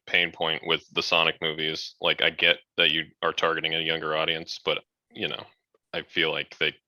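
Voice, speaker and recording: Deep, very bright young man. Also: no room sound. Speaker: podcast co-host. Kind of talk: casual debate among friends.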